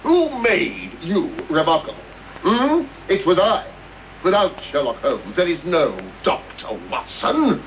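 An actor with a British accent playing a proud, analytical captive detective in a formal, imperious radio drama style. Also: male voice, high-pitched, wildly swooping pitch, measured pace, exaggerated voice. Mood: proud, insistent. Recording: dull, noisy old radio broadcast recording.

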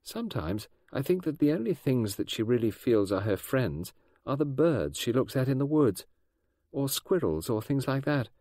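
A man voicing a gentle, vague middle-aged mother who speaks hesitantly. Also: mid-range voice, sing-song, normal speed, no room sound, studio quality, voice pushed up.

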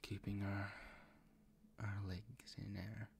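Slow, whispering man with heavy gravel. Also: lowish voice, flat delivery, slight background noise. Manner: tender, slow, soothing, gently coaxing. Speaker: ASMR roleplay performer as a comforting boyfriend. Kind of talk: improvised boyfriend ASMR roleplay. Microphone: close ASMR microphone.

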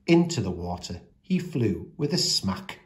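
A man reading a children's picture book aloud as a storyteller, with expressive, deliberate delivery of the rhymes. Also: fairly low pitch.

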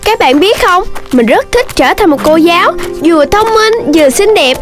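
A woman voicing a sweet but bossy little girl animal in a children's cartoon, high and girlish. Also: lively intonation, moderate pace. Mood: eager, prim. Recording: music playing behind the voice.

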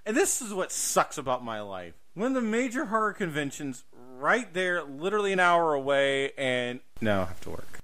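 A man's voice: fairly high pitch, very animated, normal speed, few pauses, sharp tone. A man co-hosting a podcast, chatting casually about horror films with showy emphasis. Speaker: podcast co-host. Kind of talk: casual horror film chat.

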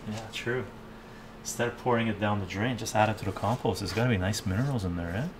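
An older man talks casually as he cooks, musing aloud and replying to viewers' comments. His voice sits on the low side. The sound carries clear background noise from the kitchen. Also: quick pace. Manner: chatty, thinks aloud, reads chat and answers it. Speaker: cooking live streamer. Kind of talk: casual live-stream chat while cooking. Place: home kitchen, indoors. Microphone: fixed camera mic, moves around the kitchen.

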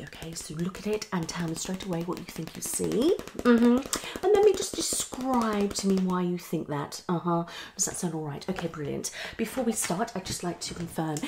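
A woman's voice: fairly low pitch, noisy background. A woman in her thirties with a British accent acts out a psychologist in an ASMR roleplay, asking questions theatrically with murmured acknowledgements. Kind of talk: ASMR roleplay, one-sided consultation. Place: small living room at home, indoors.

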